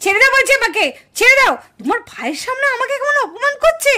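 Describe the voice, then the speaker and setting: A woman's voice: extremely high pitch, sing-song, fast talker, sharp tone, heavily acted. A woman voicing a quarrelsome, indignant village daughter-in-law in a puppet cartoon family drama. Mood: furious. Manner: scolding, indignant, exclamatory.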